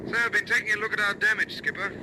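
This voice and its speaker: A man's voice: sits high, level tone, very fast, noisy background, lightly acted. An actor playing a wounded bomber crewman in a radio war drama, stoic, practical and dryly understated. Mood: matter-of-fact. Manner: matter-of-fact, dryly understated. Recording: old radio recording, loud aircraft engine drone.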